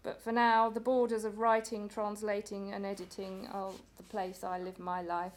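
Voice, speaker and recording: A woman speaks on a roundtable panel about her translation work. Her voice is middle-pitched, and her delivery is measured, with small stumbles along the way. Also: flat delivery. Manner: measured, with stumbles and repeated words. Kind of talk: panel talk.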